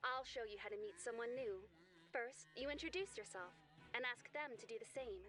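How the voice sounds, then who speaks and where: A woman voicing a gentle, reassuring female angel who teaches children in an old cartoon. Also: fairly high pitch, brisk, lightly acted. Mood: gentle, encouraging. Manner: sweet, reassuring, instructive. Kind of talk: children's educational cartoon dialogue. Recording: music behind, old VHS children's tape.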